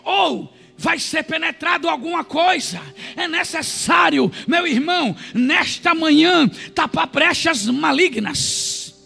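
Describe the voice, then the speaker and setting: A man preaching a sermon, retelling scripture and shouting fervently. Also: very high-pitched, animated, slow pace, gravelly, soft background music.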